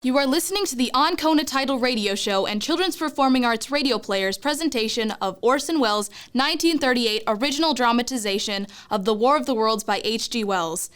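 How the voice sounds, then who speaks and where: High-pitched young woman radio show announcer. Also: expressive, brisk, hardly any pauses, a bit bright, clear, resonant tone.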